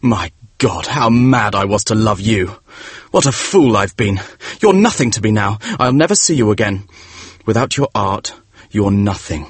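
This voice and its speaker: A man voicing a cold, disdainful young gentleman who speaks in a clipped, scornful way. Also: fairly low pitch, expressive, moderate pace, breathy edge, no room sound. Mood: contemptuous. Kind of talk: audiobook character voice.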